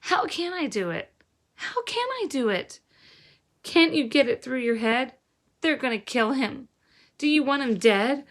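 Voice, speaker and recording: A woman's voice: high-pitched, sing-song, a little slow, pitched above the performer's own voice, exaggerated voice. A troubled young boy from a storybook, acted by a woman reader. He is stubborn and frayed, speaking in a terse, intense way. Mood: desperate.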